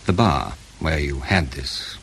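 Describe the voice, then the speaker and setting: An actor playing a sceptical, supercilious British intelligence interrogator in a radio drama, clipped, sardonic and needling. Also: male voice, rumbling low, slow, vocal fry, a bit bright, a little hiss or hum, heavily acted. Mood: sceptical, probing.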